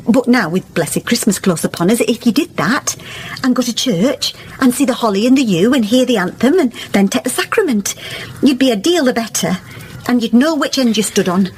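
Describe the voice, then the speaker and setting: A woman's voice: on the high side, darkish tone, slight background noise, close to an everyday voice, rough recording. A woman playing a kindly, pious village wife in a radio drama, chatty and earnest, with a rural English accent. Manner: chatty, homely, earnestly persuasive.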